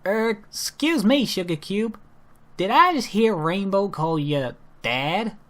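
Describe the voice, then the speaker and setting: A man puts on a country pony mare for a cartoon story. The voice sits higher, drawls slowly, and sounds blunt, folksy and puzzled. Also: expressive, a bit bright, heavily acted.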